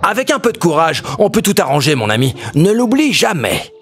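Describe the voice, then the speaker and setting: A man voicing a busy, kindly wise owl tailor in a children's animated tale, theatrical and encouraging. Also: a little high, fairly quick, faint music behind, full character voice.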